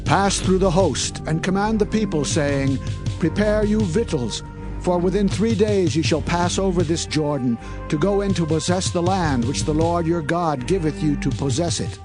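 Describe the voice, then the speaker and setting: A man's voice: fairly high pitch, normal speed, music in the background, lightly acted. An actor playing a bold Israelite leader in dramatized scripture audio, declaiming his orders with authority. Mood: commanding. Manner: declamatory, commanding. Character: Joshua, bold male Israelite leader commanding people.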